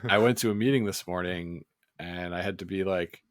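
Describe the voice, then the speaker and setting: A man co-hosting a sports podcast in a relaxed, laid-back way, his voice a little scratchy today. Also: low voice, moderate pace, smooth, no room sound. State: slightly scratchy voice. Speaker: podcast co-host. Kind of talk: casual sports podcast chat.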